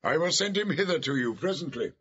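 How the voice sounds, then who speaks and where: Forceful, swooping noble lord. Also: male voice, on the high side, expressive, quick pace, breathy edge, highs cut off. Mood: stately. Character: dignified, hearty noble father and lord. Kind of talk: classical Shakespearean audio drama acting.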